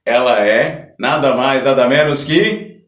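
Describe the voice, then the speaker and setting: A Brazilian man hosts a podcast in a casual, conversational interview style. He talks at a slow, unhurried pace. The sound is echoey, with the microphone some distance away. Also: medium pitch, a bit bright. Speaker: podcast host. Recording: echoey room, distant mic.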